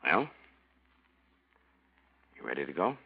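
A calm police detective with a very deep voice and a lively, rolling delivery, laying out facts in a dry, matter-of-fact way. The recording is dull and old, like vintage radio. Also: male voice, slow pace, gravelly, full character voice. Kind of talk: 1940s radio acting.

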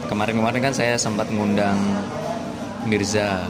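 A man with a nasal, hoarse voice hosting a casual podcast with a jokey, laughing manner. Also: lowish voice, flat delivery, moderate pace, heavy background noise. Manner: joking, laughs often. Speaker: podcast host.

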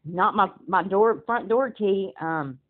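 A woman phoning to make an inquiry, speaking haltingly and repeating herself. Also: mid-range voice. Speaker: caller requesting a police report. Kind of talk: phone inquiry, recorded by the caller. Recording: muffled, narrow telephone call.

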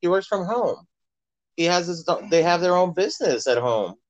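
Male interview host, lively swings in pitch, informal and encouraging, dry muffled call sound. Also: moderate pace, dry recording. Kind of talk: casual phone interview chat. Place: remote phone call. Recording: dull, lo-fi phone call audio.

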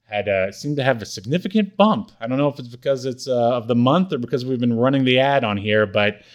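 A man hosts a podcast in an easy, informal chat. His voice sits at a middling pitch, and he speaks in a hesitant, stop-and-start way. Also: lively intonation, a little fast. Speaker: podcast co-host. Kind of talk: casual chat.

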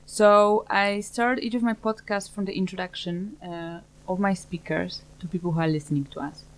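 Woman podcast host, lowish voice, polite careful questions. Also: fairly even intonation, normal speed, a little hiss or hum, poor audio. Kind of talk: interview podcast.

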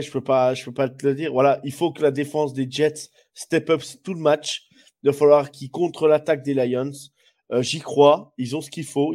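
A man's voice: medium pitch, warm tone. A man co-hosting a sports podcast, stuffy with a cold, rambling through his picks. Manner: rambling, many fillers and restarts. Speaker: podcast co-host. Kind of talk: casual sports chat.

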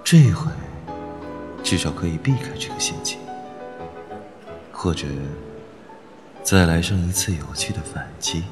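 A man acting a cynical, guarded heir in his twenties in an audio drama, cool, sardonic and deliberate. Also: sits low, expressive, music in the background. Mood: detached, brooding. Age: 20s. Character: cynical, guarded young heir, coolly scheming. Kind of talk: Chinese audio drama acting with inner monologue.

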